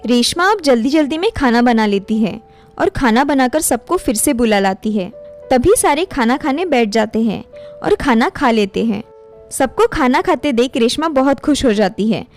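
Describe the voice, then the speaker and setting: High-pitched woman narrating a story. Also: lively intonation, normal speed, faint music behind.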